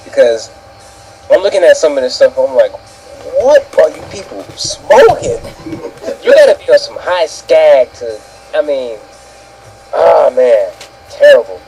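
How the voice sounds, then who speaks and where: A man's voice: on the high side, very animated, measured pace, dark tone, soft background music, poor audio. A man co-hosting a casual talk, giving blunt, exasperated opinions in slangy language. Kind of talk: casual ranting commentary.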